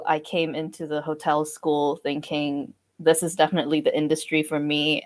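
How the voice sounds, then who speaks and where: A young woman from Hong Kong, in her twenties, reflects in a relaxed chat, pausing often with fillers. Her voice sits low for a woman. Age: 20s. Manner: thoughtful, hesitant with fillers. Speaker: guest. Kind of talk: casual conversation.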